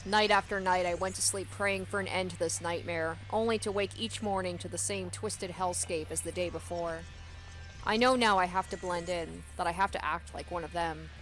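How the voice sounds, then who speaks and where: A woman's voice: on the low side, steady tone, moderate pace, a bit bright, faint music behind, poor audio. A woman hosting a let's play, reading a visual novel aloud and adding casual commentary. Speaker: let's play host and narrator.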